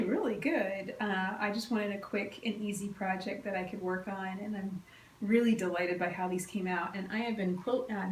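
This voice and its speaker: A woman with a slightly stuffy, cold-affected voice, chatting informally and enthusiastically as a craft podcast host. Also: mid-range voice. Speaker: podcast host, quilting teacher. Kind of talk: casual solo chat, craft update. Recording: roomy echo, distant mic, faint noise.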